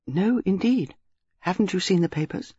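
Reader voicing a prim, softly husky old spinster. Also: medium pitch, lively intonation, no echo, muffled recording, voice pushed up. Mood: grave. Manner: measured, grave, quietly vexed. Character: prim, shrewd, gentle elderly spinster.

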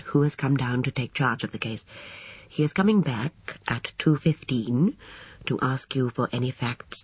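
A woman voicing a formal, reassuring gentleman adviser with a measured, courteous manner in an audiobook. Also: rumbling low, husky. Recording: dull, roomy, echoing sound.

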